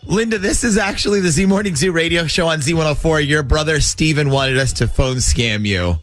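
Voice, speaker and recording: Radio guy doing a prank call, calm deadpan, kinda high voice for a man. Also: a little fast. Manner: calm, matter-of-fact, hesitant fillers.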